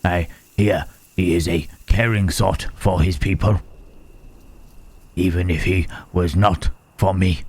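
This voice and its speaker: Man acting wary gentle creature, very slow, low-pitched, hushed, halting, old-fashioned wording, intimate ASMR roleplay. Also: steady tone, breathy edge, no echo, exaggerated voice. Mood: thoughtful, grave. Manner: halting, formal archaic phrasing, questioning "huh".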